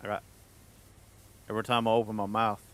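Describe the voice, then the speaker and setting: A man's voice: lowish voice, level tone, a little fast, fairly bright tone. A man from the United Kingdom putting on an American Southern cowboy accent, chatting casually and self-consciously to a livestream audience with plenty of swearing. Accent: put-on American Southern cowboy drawl. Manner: self-conscious, profane, playful rambling in a put-on accent. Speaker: livestreamer talking to chat.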